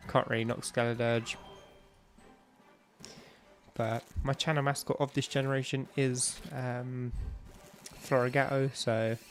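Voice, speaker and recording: A man's voice: mid-range voice, little pitch movement, a little slow, pauses often, soft background music. A young man with a stuffy, bunged-up nose, chatting casually and rambling with lots of ums while he streams a game. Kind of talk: casual live-stream chat while playing.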